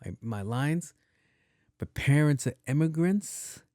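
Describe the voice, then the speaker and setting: Soft-voiced man, mellow and dry. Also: expressive, takes it slowly, dry recording. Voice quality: soft, not deep. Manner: loose and profane, with many restarts and repeats. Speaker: podcast host. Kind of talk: casual rambling monologue.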